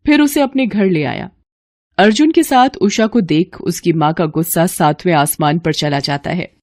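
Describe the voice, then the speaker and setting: A woman's voice: lowish voice, moderate pace, a little mellow, no room sound, clean studio sound. A woman narrator reading a scripted family story for a cartoon.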